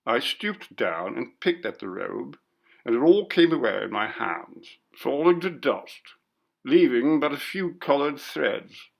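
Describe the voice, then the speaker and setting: A man's voice: mid-range voice, very animated, a little slow, vocal fry, exaggerated voice. A man voicing a pompous, curt college bursar in a ghost story reading, with a disapproving manner. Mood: grave recounting.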